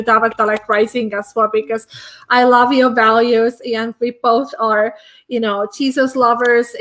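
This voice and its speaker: A woman's voice: on the high side, a bit bright. A woman from Estonia, a business coach guest, talking casually with many fillers in a hotel room. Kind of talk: casual conversation.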